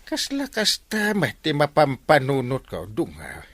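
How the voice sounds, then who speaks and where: A man with an Ilocano accent playing an excitable, scolding village captain in a comedy radio drama. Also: fairly high pitch, unhurried, no room sound, exaggerated voice. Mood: exasperated.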